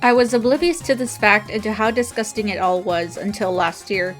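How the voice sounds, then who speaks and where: A woman's voice: medium pitch, moderate pace, faint music behind. A woman reading a written apology statement aloud.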